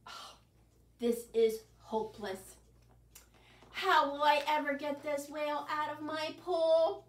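A woman's voice: high-pitched, fairly even intonation, slow pace, pitched above the performer's own voice. A woman voicing an exasperated little boy who can't get a whale out of his pool, heard from a distance in an echoey room. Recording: echoey room, camera set back.

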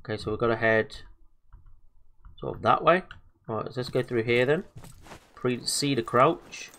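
A man sounding a little bunged up from a cold chats through a game as he plays. He talks casually, trails off, and fills gaps with ums and uhs. His pitch sits at an ordinary middle height for a man. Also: measured pace, fairly bright tone. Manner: chatty, hesitant, lots of fillers and self-talk. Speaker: gaming video host. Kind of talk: casual let's-play commentary.

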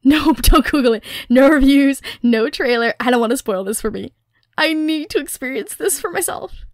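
A woman playing a dorky, affectionate young girlfriend in an intimate ASMR roleplay, expressive and teasing, close on the mic. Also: high-pitched, moderate pace, dry recording. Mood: excited, pleading. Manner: playful, expressive, teasing, nerdy rambling. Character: playful, dorky, Halloween-obsessed young girlfriend, excitable and affectionate. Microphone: close, dry studio microphone.

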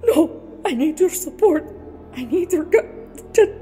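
A woman's voice: very high voice, lively intonation, a little slow, dark tone, soft background music. A woman voicing a clingy, melodramatic young female chief in a fan radio play.

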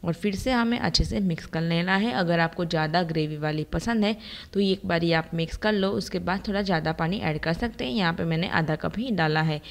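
A woman with a slightly low voice presents a recipe as a voice-over. She explains each step in an instructional manner, speaking straight to the viewer as a cooking channel host. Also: a little fast, creaky. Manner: instructional, addresses viewers directly.

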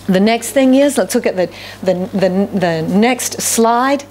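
A middle-aged American woman pastor preaching a sermon from a podium in a church hall, with a loud voice, repeating key phrases and asking the congregation questions. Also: mid-range voice, animated, unhurried, slight background noise. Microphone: podium microphone.

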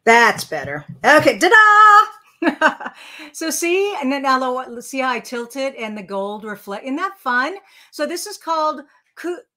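An older woman talks through a card-making project live from her craft desk. Her voice is bright and clear. She chats casually, corrects herself often and greets viewers by reading their comments. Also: high-pitched, big pitch swings, unhurried. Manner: chatty, self-correcting, reads viewer comments aloud.

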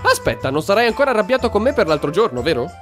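A man's voice: sits high, big pitch swings, racing, music in the background. A man voicing a scrawny, dim and whiny wolf roommate who is easily exasperated, in a cartoon comedy dub. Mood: pleading. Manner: exasperated, prone to outbursts.

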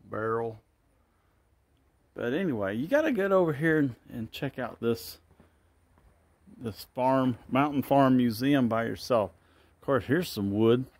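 A man at a middle pitch rambles through a self-filmed tour of an open wooden barn. He thinks aloud and often admits he isn't sure what he's looking at. The sound comes from a handheld phone. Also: a little slow, long pauses, a little mellow, no room sound. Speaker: vlogger giving a walking tour. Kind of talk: casual walk-and-talk narration. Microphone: handheld phone camera.

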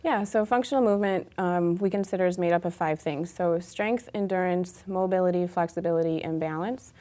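A woman in her thirties speaks as a talk show guest. Her pitch is a little low, and she explains her work in a casual, conversational way. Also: fairly quick, warm tone. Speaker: guest, gym owner and trainer. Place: small TV studio set, indoors.

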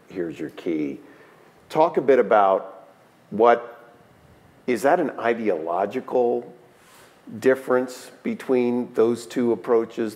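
A middle-aged man moderating a conference panel, asking measured, searching questions. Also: deep voice, darkish tone. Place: stage in a large room, seated in armchair.

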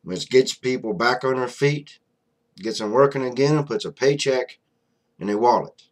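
A young man talks straight into his desk webcam from a small room, airing opinions in a loose, blunt way. He leans on fillers and asides, with a middling pitch and an everyday conversational flow. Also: moderate pace. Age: young adult. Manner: blunt, conversational, many fillers like "you know". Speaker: vlogger. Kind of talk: casual political commentary to camera. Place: small room indoors, flag on wall behind.

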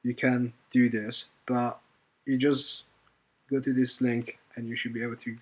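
A man with a medium-pitched voice presents an informal coding walkthrough. His delivery is hesitant and halting, as he explains steps while working through them. He often repeats a phrase before finishing it. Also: little pitch movement, slightly rough. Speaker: software tutorial presenter. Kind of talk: informal screen-recorded coding tutorial.

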